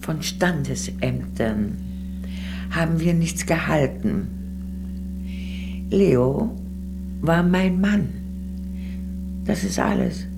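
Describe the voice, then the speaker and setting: An actress playing a proud, guarded old former communist in a radio drama, curt and defensive. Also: female voice, low voice, animated, drawn-out words, vocal fry, long pauses, music in the background, heavily acted. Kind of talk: German radio drama acting.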